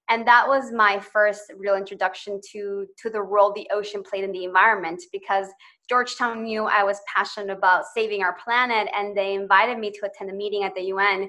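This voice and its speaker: A woman's voice: mid-range voice, pushed and bright. A woman in her twenties from Ecuador, a podcast guest telling her own story in an earnest, eager way with light laughs between phrases. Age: 20s. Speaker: podcast guest, social entrepreneur. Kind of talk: personal storytelling in interview.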